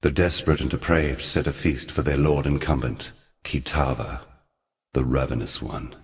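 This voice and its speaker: An ancient forgotten god for a game, super deep voice, grave n deliberate, protective of humanity. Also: male voice, fairly even intonation, gravelly, long reverb tail. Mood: solemn. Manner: solemn, deliberate, archaic and portentous phrasing. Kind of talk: scripted video game character dialogue.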